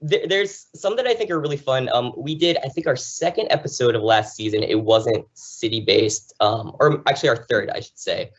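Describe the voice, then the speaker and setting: Male American podcast guest, conversational and animated storyteller, mid-pitched voice, slightly lo-fi recording. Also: normal speed, hardly any pauses. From New Jersey, United States. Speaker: podcast guest, travel show host. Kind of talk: conversational interview, enthusiastic storytelling.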